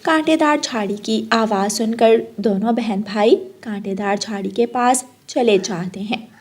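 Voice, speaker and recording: High-voiced woman narrating a children's story. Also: measured pace.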